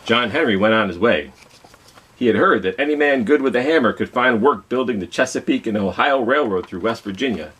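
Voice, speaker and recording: A man's voice: medium pitch, animated, a little fast, fairly bright tone, slight background noise, rough recording. A man reading a picture book aloud as a children's storytime host, telling it dramatically and putting questions to his young listeners. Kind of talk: expressive children's read-aloud.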